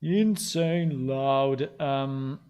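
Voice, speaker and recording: A man speaking at an unhurried, slow pace in a middle pitch. He talks casually as a game developer working on a live stream. He thinks aloud, stopping and restarting with many fillers. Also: a little mellow, clean tone, lo-fi sound.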